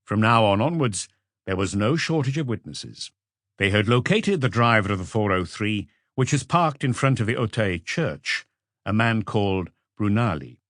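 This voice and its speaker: A man's voice: on the low side, lively intonation, moderate pace, fairly bright tone, no room sound, studio quality. A man reading a mystery novel aloud as a scripted audiobook narration.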